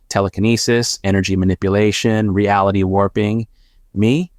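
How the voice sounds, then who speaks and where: An actor playing a sardonic, haunted former risk analyst in an audio drama, narrating in a measured, wry, confessional way. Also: male voice, deep voice, no room sound, studio quality, close to an everyday voice. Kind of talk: first-person dramatic audio fiction narration.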